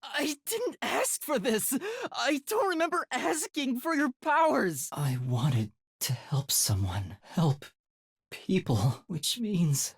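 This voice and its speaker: A man playing an earnest, anguished young detective in training in a video game, with a light, boyish, breathy voice and a hesitant, emotional delivery. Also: extremely high pitch, very animated, slow pace, dry recording, clean studio sound, heavily acted. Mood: impassioned, pleading, then somber, resolute. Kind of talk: dramatic English video game voice acting.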